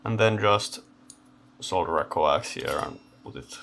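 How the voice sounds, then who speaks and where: A man giving unscripted hands-on commentary as he takes electronics apart, halting and thinking aloud in short phrases. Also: low-pitched, gravelly, a bit bright, slight background noise. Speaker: host of electronics teardown videos. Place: indoor electronics workbench.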